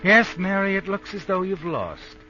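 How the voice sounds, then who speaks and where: An American actor playing a knowing, taunting narrator in a 1950s suspense radio drama. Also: male voice, high voice, big pitch swings, normal speed, sharp tone, soft background music, heavily acted. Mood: taunting, ominous. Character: knowing, taunting male narrator, an unseen omniscient observer. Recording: muffled 1950s radio recording.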